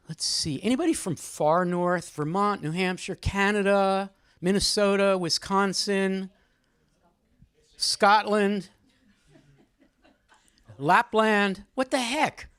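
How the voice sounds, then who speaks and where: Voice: medium pitch, slow pace, pauses often, a bit bright, smooth. An informal host with a mid-range voice leads a group at a live event. The delivery is conversational and teasing, addressing people directly. The sound is close and dry, with little room reverberation. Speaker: host leading a group poem discussion. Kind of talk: informal live moderating, with some poetry reading. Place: indoor room at a live gathering.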